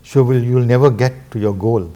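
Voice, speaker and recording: A middle-aged man from Singapore, a guest talking slowly and earnestly about struggle and faith. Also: medium pitch, dark tone. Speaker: interview guest, businessman. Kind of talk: reflective spiritual conversation.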